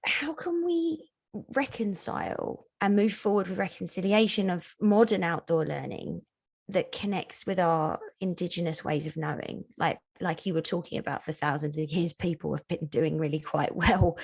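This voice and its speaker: A woman's voice: lowish voice, moderate pace, vocal fry, a little mellow, breathy edge. A woman hosting an interview in a conversational way, talking through her questions at length. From born in the UK, lives in Canada. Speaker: interviewer and event host. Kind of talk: conversational interviewing at an online book launch.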